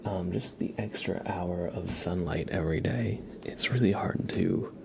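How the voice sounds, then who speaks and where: Male ASMR streamer, lowish creaky voice, gentle rambling chat drifting into whispers, noticeable background noise. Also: unhurried. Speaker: ASMR livestream host. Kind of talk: soft-spoken ASMR with casual livestream chat.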